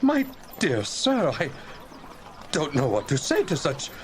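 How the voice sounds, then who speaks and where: A portly, courteous old gentleman in an audio drama. He speaks slowly with very wide, flustered swings of pitch, against clear background noise. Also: huge pitch swings, full character voice. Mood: astonished. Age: older.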